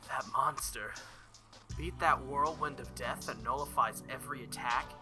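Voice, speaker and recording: A man's voice: mid-range voice, steady tone, normal speed, music in the background, lightly acted. A man reading the inner thoughts of an earnest teenage boy hero from a visual novel, hesitant and questioning. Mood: worried.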